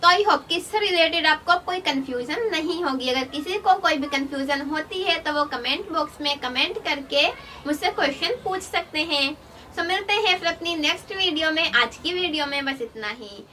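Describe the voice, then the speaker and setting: A woman's voice: high-pitched, bright, forceful tone. A woman teaching an online lesson, explaining step by step and mixing English terms into her Hindi. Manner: reads article titles slowly, then explains. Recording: distant mic with faint noise.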